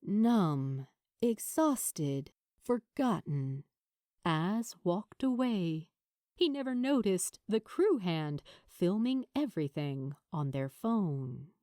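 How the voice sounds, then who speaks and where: A woman reading a story as an audiobook narrator, with dramatic, deliberate phrasing and pauses. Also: on the low side, very animated, warm tone, dry recording, studio quality. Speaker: narrator of fan fiction.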